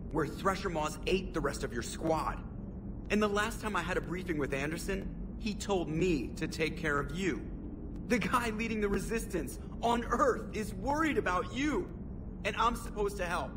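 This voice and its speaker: Man playing a wisecracking starship pilot, sarcastic, higher voice, hissy game audio. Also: natural voice. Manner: joking and sarcastic. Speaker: ship pilot. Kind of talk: video game cutscene dialogue.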